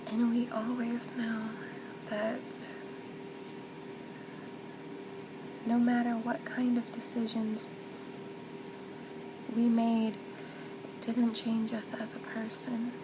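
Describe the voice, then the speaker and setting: A woman playing a gentle, comforting mother in a soft-spoken ASMR roleplay, soothing and tender, often slipping toward a whisper. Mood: earnest, encouraging. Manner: soothing, tender, reassuring, hushed with whispery stretches. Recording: loud background noise with low rumble.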